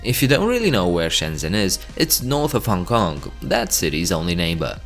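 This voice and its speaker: A man's voice: sits low, very animated, moderate pace, soft background music. A man narrating an educational video from a script, breaking into chatty asides to the viewer between facts. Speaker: channel host.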